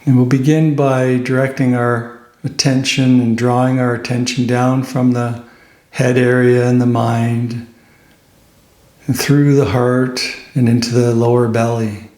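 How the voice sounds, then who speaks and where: A man leading a guided meditation as a teacher, speaking gently and unhurriedly, with pauses between phrases. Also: mid-range voice, little pitch movement, reverberant.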